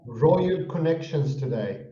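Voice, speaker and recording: A man's voice: fairly high pitch, animated, slow, dark tone. A Swedish man in casual discussion, musing and repeating words as he thinks. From Sweden. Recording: distant, muffled, echoey.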